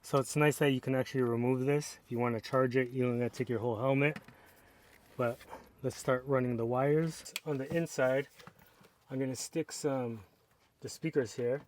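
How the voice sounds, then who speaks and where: Relaxed man walking through a setup. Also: medium pitch, normal speed, a little hiss or hum. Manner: relaxed, step-by-step explaining while working with hands. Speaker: product reviewer. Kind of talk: casual how-to narration.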